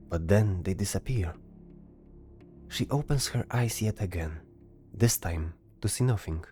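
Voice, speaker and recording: Guy narrating a fantasy audio drama, dark mellow voice, bit of music behind. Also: deep voice. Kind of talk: scripted fantasy story narration.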